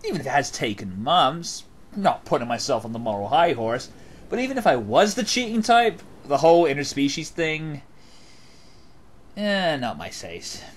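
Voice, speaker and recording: A man's voice: a little high, sing-song, normal speed. A man playing a cheeky, talkative soldier with a flippant, chatty manner in an audio drama.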